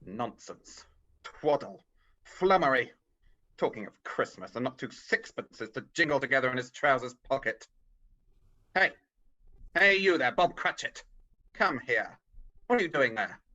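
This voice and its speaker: Man acting a sharp, scornful old miser. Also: mid-range voice, lively intonation, unhurried, long pauses. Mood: irritable. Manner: curt, sneering, dismissive. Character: miserly, scornful old businessman uncle and harsh boss. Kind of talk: vintage radio drama acting. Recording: dull, lo-fi video call.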